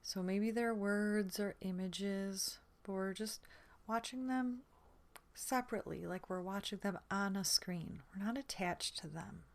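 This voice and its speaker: A woman with a mid-pitched, soft voice leads a sleep meditation. She speaks slowly and gently, leaving room between phrases. Her words often fade into a breathy, soothing near-whisper. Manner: soothing, gentle, unhurried with long pauses. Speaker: guided meditation instructor.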